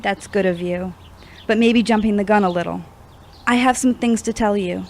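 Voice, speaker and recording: A woman's voice: mid-range voice, moderate pace, a little hiss or hum, natural voice. A woman playing a troubled, earnest young woman in an audio drama, speaking confessionally. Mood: guarded. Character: troubled young woman opening up about past grief. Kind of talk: amateur audio drama acting.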